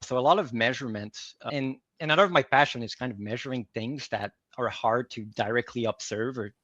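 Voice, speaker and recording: A man's voice: medium pitch, fairly quick, a bit bright, dry recording, lo-fi sound. A man from Quebec City whose first language is French, answering interview questions in an earnest, searching way. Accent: Québécois French. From Quebec City, Canada. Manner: earnest, restarts and repeats words while thinking. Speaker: guest, workplace psychology advisor.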